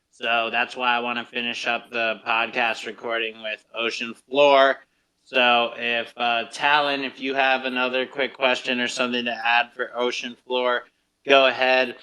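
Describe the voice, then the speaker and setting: A man's voice: mid-range voice, bright, forceful tone, smooth, poor audio. A man hosting a live online radio show in a conversational interview style. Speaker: podcast host.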